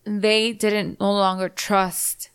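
A woman co-hosting a podcast in casual chat, blunt and quick to swear. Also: mid-range voice, flat delivery, clear, resonant tone, soft background music. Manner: blunt, swears freely, rambling. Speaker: podcast co-host.

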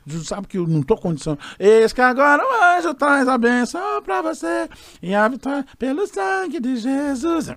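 A Brazilian man, a gospel singer guest, telling an animated story and acting out the voices of others. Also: very high-pitched, moderate pace, no room sound. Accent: Brazilian Portuguese. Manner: expressive, acts out quoted voices.

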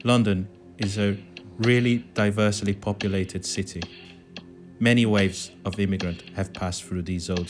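A man from Brazil, a dance artist, narrating a calm guided walk with soft music behind. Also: low-pitched.

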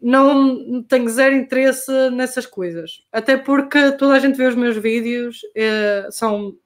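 High-voiced young Portuguese woman chatting casually. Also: unhurried. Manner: informal, slangy, hesitant, mixing in English terms. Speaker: guest skater. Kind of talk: casual chat.

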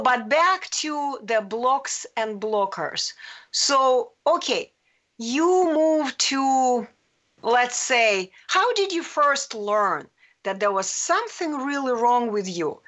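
A woman hosting a live radio talk show, interviewing a guest with energetic, encouraging questions. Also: a little high.

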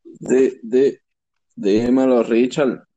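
Mellow young Puerto Rican podcast host. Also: male voice, medium pitch, fairly even intonation, drawn-out words, no room sound, dull audio. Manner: easygoing, slangy, laughs often. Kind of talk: casual interview.

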